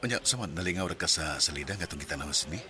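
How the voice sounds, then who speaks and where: An actor with a Cebuano Filipino accent playing an attentive, solicitous brother-in-law in a radio drama, with some noise behind. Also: male voice, low voice, lively intonation, very fast, sharp tone, full character voice. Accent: Filipino, Cebuano-speaking. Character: attentive younger brother of a missing husband, courting gently. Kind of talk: Philippine radio drama acting.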